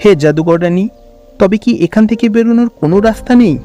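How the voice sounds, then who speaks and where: A man's voice: high-pitched, a little fast, dark tone, faint music behind, voice pushed up, close to an everyday voice. A man voicing a brave, kind, eager village boy in a fairy-tale cartoon. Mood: anxious. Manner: earnest, eager.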